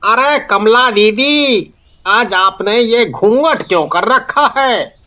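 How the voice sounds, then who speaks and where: Man doing a slow, high, curious customer. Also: expressive, pushed and bright, full character voice. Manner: slow, drawn-out. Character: curious, friendly customer at a tea stall. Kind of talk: animated story dialogue.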